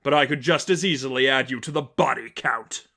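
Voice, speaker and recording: A man's voice: medium pitch, a little fast, pushed and bright, lightly acted. Smug male fanatic villain, acted out by a man reading, cold, pompous, menacing, audiobook drama. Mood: threatening.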